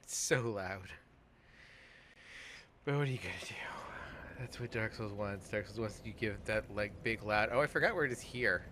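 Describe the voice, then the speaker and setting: Low-voiced man over noticeable background noise. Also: fairly bright tone. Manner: halting, frequent swearing. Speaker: host and player of a gaming show. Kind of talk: casual let's play commentary.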